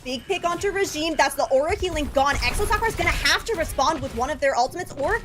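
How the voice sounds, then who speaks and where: A woman's voice: high voice, lively intonation, fast talker, background noise. A woman giving live analysis and colour commentary on an esports match, explaining the play as it unfolds.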